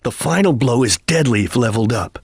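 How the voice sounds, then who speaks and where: Husky, stern ninja chameleon. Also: male voice, mid-range voice, lively intonation, fairly quick, dry recording, studio quality. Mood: measured, instructive. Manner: solemn, formal, clipped, declarative delivery. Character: stern, disciplined ninja chameleon, solemn male video game fighter. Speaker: video game character giving battle lines and tutorials. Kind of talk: dramatic video game voice acting.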